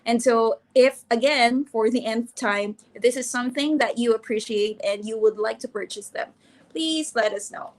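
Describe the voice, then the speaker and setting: Chatty woman co-hosting live. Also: fairly high pitch. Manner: chatty, restarts often, quick to laugh. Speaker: livestream co-host. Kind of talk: casual live co-hosting, reading artist bios.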